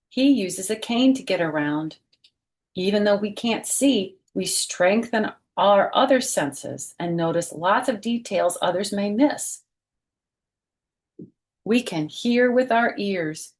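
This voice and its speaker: A woman voicing a confident, friendly young girl from a storybook, speaking in simple, deliberate phrases. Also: medium pitch.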